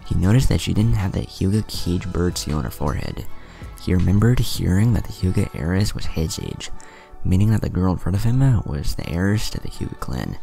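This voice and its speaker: A man reading a fan fiction story aloud in a plain, steady way, with the odd slip. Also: deep voice, a little fast, creaky, soft background music.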